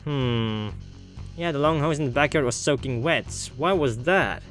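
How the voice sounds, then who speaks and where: A man's voice: medium pitch, unhurried, fairly bright tone, soft background music. A man hosting a gaming video, playfully thinking aloud and exclaiming as he comments casually on the playthrough.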